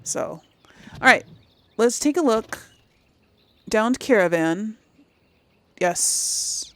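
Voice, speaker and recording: Woman gamer with lively, swooping pitch. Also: drawn-out words, slight background noise. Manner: thinks aloud, muttering to herself. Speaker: gaming channel host. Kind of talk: casual game playthrough commentary.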